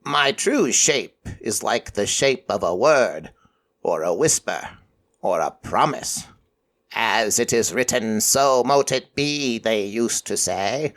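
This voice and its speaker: A man voicing an ancient, formless deity in raven form for audio fiction, solemn and measured, with formal archaic phrasing. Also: lowish voice, animated, fairly bright tone, heavily acted. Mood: solemn, grave. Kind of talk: audio fiction character acting.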